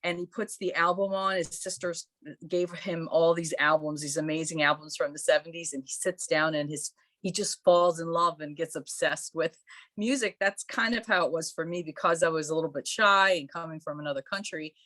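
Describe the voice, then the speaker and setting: A woman's voice: sits low, fairly even intonation, normal speed, no echo. A woman born in Croatia, a musician guest in a podcast interview, telling stories in a chatty, friendly way. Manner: warm, chatty storytelling with laughs. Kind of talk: casual interview.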